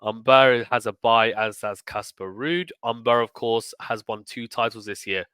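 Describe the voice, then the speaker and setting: A man hosting a casual, chatty tennis preview, thinking aloud emphatically, with a slightly croaky voice as he recovers from illness. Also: mid-range voice, normal speed, a bit bright, dry recording. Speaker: sports YouTube host. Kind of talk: casual tournament preview commentary.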